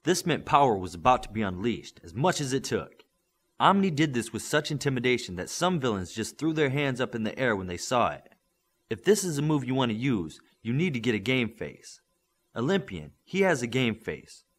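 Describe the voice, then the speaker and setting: A man's voice: medium pitch, sing-song, normal speed. A man voicing a firm, encouraging male superhero coach and mentor in an audiobook. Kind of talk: audiobook character acting.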